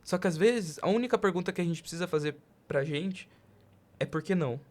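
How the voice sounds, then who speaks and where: A Brazilian man with a fairly high voice talks as a podcast host. His delivery is casual and motivational, built on emphatic rhetorical questions. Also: brisk. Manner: emphatic, rhetorical, poses questions and acts out quotes.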